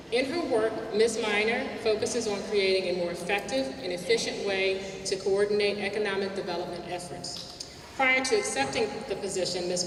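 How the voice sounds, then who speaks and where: A woman's voice: mid-range voice, background noise. A woman emceeing a business networking event in a hotel hall, with a big projecting voice and some filler words. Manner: loud projecting voice. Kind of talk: welcome speech at a business event. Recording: echoey hall, mic from far off.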